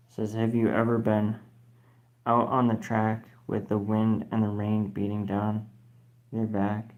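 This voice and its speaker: A man's voice: fairly low pitch, lo-fi sound. A man hosting a casual live stream from his phone, reading viewer comments aloud in a rambling, slangy way, swearing casually and spelling names out letter by letter. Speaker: livestream host.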